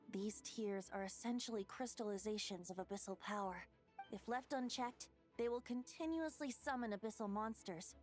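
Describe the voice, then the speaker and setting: A woman's voice: mid-range voice, natural voice. A woman playing a youthful, breezy yet thoughtful boy bard in a video game.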